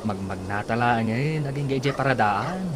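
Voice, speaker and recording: Guy acting a cocky young ilocano boyfriend bragging, pitch jumps all over, noisy background. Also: expressive, moderate pace, natural voice. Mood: boastful, casual. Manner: boastful, exclaiming fight cries. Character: cocky young boyfriend boasting of karate skills. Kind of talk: Ilocano radio comedy drama acting.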